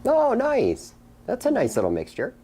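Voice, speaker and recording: A man hosting a live show, asking easy questions in a relaxed interview chat. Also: very high-pitched, moderate pace, slight background noise. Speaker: show host.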